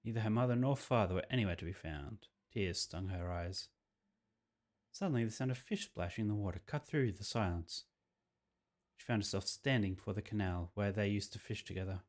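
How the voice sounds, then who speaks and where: A man's voice: fairly low pitch, brisk. A man streaming a visual novel, reading the text aloud and adding his own commentary. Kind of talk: game playthrough commentary and dramatic read-aloud. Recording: game music behind the voice. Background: video game music.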